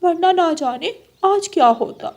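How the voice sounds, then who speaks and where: Woman as a high-voiced cartoon crow mother. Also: lively intonation, measured pace, full character voice. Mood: grateful. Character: grateful female crow mother. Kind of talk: children's cartoon voice acting.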